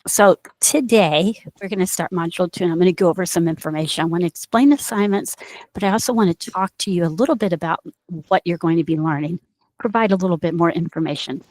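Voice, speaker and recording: A woman's voice: lowish voice, quick pace, darkish tone, no echo, rough recording. A middle-aged woman with an Indiana accent, an instructor explaining a course module in a recorded online lecture, with fillers and restarts. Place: small office with bookshelves. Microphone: headset.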